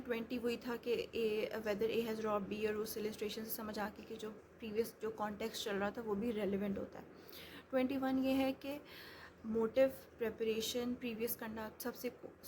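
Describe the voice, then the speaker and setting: A woman explaining law step by step, many hesitations and restarts. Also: a little high. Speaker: law lecturer. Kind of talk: explanatory lecture. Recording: noisy background hiss.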